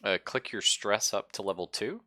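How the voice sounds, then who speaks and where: A man acting as game master, improvising descriptions and chatting informally, with a halting, casual delivery. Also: on the low side, pushed and bright, no echo. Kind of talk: improvised tabletop game narration and casual chat.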